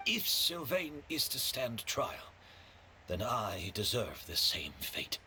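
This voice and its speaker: A man playing a remorseful, courteous gentleman in a video game, speaking in a measured, earnest way. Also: medium pitch, very animated, a little hiss or hum, heavily acted. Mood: resigned, courteous. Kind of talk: dramatic game voice acting.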